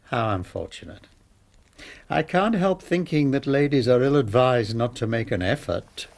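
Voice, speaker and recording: An English actor playing a smug, middle-aged master blackmailer in a radio drama, with pompous, mock-polite regret. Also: male voice, mid-range voice, animated, normal speed, creaky, a little mellow. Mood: mock regret, menacing. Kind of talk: British radio drama acting.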